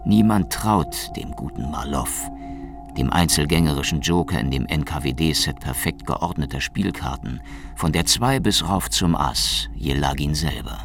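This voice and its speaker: An actor playing a cynical, tough Soviet secret police detective in a hardboiled radio crime drama. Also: male voice, very deep voice, expressive, unhurried, gravelly, exaggerated voice. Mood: brooding. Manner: sardonic, terse, hardboiled. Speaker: actor, lead and narrator. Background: music.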